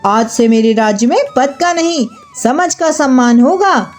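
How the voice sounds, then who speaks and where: A woman's voice: high voice, expressive, unhurried, a little mellow, faint music behind. A woman voicing a learned king in a children's story, formal and commanding. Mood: humbled, solemn. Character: proud, learned Indian king questioning his subject.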